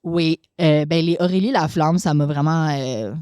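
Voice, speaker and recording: A woman's voice: low-pitched, moderate pace, mellow, smooth, no room sound, clean studio sound. A young woman with a Québécois accent, a podcast guest chatting casually and dropping English phrases. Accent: Québécois French. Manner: chatty, mixes English expressions, laughs often. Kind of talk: casual conversation.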